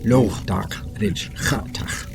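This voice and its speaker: A man acting in an audio drama, speaking with a very slow, weighty delivery. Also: lowish voice, gravelly, music in the background.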